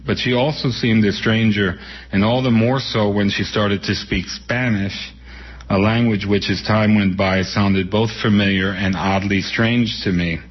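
A man in his sixties from New York City speaks in a lowish voice, slowly recalling his childhood with many pauses before reading from his own book. The sound is dull and muffled, like an old radio broadcast. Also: fairly even intonation, slightly rough. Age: 60s. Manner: unhurried, reminiscing, with frequent pauses and fillers. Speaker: author and guest.

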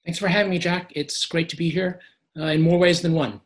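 Male interview guest; hoarse and a little short of breath, measured answers with many fillers. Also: on the high side, steady tone.

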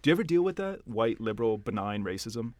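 A man's voice: fairly low pitch, sing-song, fast, warm tone, no echo. A man in his thirties from Canada, making wry asides in a casual film discussion. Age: 30s.

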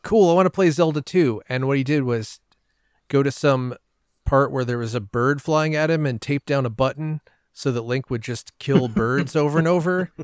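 Clear-voiced man chatting casually, close and dry. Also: medium pitch, no room sound. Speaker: podcast guest. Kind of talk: casual chat, telling personal anecdotes.

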